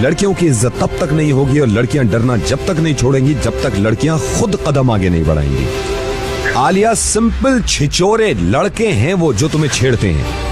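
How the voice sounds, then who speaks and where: Man, radio host on a phone call, lecturing and pleading, lively pitch. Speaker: radio host pranking a caller. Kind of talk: prank phone call and pep talk.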